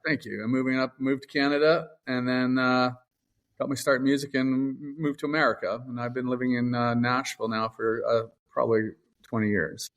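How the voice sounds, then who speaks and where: A man in his forties from England, a musician guest in a casual interview, easygoing and pausing often with filler sounds. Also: mid-range voice, little pitch movement, fairly bright tone, muffled recording. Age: 40s. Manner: easygoing, hesitant with many uhs.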